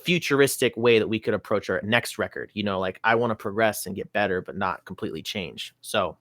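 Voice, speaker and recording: A young man talks casually on a podcast, rambling and correcting himself as he thinks aloud. His voice sits low. The close mic gives a dry sound with no room echo. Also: fairly quick, fairly bright tone. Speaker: musician guest.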